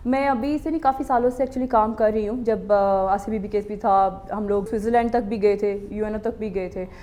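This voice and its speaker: A woman's voice: medium pitch, fairly even intonation, brisk, dark tone, slight background noise. A woman with a slightly strained throat, earnestly answering interview questions with English words mixed in. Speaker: talk show guest, charity worker.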